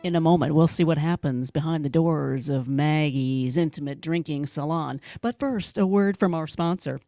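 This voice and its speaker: A woman's voice: deep voice, moderate pace, mellow, soft background music. A woman with an American accent announcing a vintage-style radio drama and reading a sponsor message from a script.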